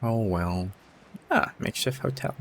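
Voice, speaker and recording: A man's voice: lowish voice, a little slow, gravelly, slight background noise. A man doing casual gameplay commentary as a streamer, thinking aloud in an offhand way. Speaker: game streamer.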